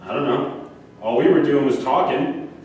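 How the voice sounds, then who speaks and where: Echoing distant recording, faint noise, male actor as evasive middle-aged male defendant, needling, halting, quick to indignation, staged drama. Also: mid-range voice, darkish tone, natural voice. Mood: defensive. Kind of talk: staged play reading. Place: live staged reading in an echoing room. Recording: echoey room, distant mic, background hiss.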